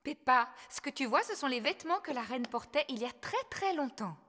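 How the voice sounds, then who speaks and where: Give me a gentle mother pig. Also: high voice, lively intonation, fairly quick, long reverb tail. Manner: gentle, explaining. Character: kind patient mother pig. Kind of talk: French cartoon dub.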